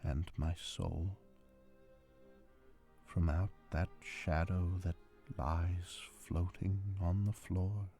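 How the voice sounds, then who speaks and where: A man giving a dramatic poetry reading in a deliberate, theatrical manner. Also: low-pitched, flat delivery, mellow. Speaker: amateur reader. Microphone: handheld digital recorder, close and dry. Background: string quartet music.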